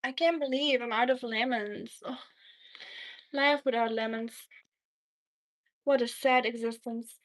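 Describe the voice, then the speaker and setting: A German-accented woman hosts a casual cooking livestream, sharing kitchen tips and replying to viewers as she works. Her voice sits a little higher than most women's. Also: a little slow, pauses often, poor audio. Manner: chatty, reads and answers viewer comments while cooking.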